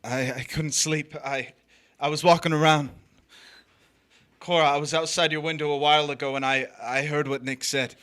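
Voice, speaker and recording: A man's voice: fairly high pitch, steady tone, moderate pace, a bit bright, natural voice. A man playing a restless, smitten drifter in a crime drama, terse and uneasy. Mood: uneasy, shocked. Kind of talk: crime drama acting with first-person narration.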